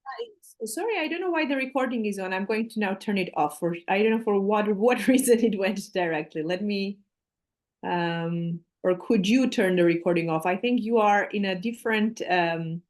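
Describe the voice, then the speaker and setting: A woman's voice: mid-range voice, moderate pace, a little mellow. A woman from Armenia with an Armenian accent, an English teacher chatting over a video call and explaining at length with fillers. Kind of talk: casual video call conversation.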